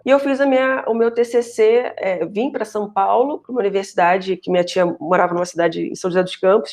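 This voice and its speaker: A middle-aged woman from Rio de Janeiro with a Carioca accent mixed with other regional accents, a professor telling her career story in a relaxed podcast interview, full of tag questions and wry asides. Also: fairly high pitch. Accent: Carioca Brazilian Portuguese, mixed with other regional Brazilian accents. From Rio de Janeiro, Brazil. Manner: chatty, frequent tag questions, self-deprecating asides. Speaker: professor and researcher guest.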